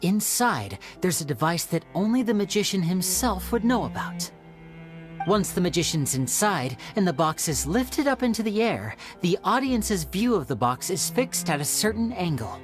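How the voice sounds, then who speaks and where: An actor voicing a young male stage magician in a video game, smooth, theatrical and explanatory. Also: sits high, very animated, normal speed. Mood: explaining. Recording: game audio with soft music.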